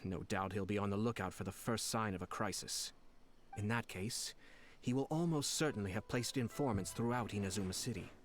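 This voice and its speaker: A man voicing a composed, calculating young nobleman in a video game, polite, measured and formal. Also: on the low side, slight background noise, natural voice. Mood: composed, commanding. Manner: measured, formal, smooth-talking. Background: soft game music.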